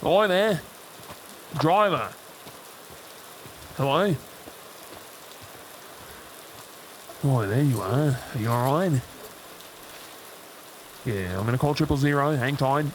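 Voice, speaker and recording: A man acts out an Australian crash survivor in an audio roleplay, urgent and protective. His mid-pitched voice is breathless yet reassuring, with drawn-out pacing. A light wash of rain and vehicle noise sits behind him. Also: expressive. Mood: urgent, shaken. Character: injured, protective Australian crash survivor rescuing a trapped driver. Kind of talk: dramatic audio roleplay acting.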